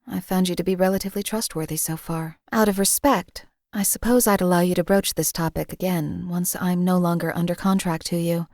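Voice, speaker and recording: An actress voicing a detached female assassin companion in a video game, with deadpan, measured, emotionally flat delivery. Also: fairly low pitch, no room sound, clean studio sound, natural voice. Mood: coolly detached.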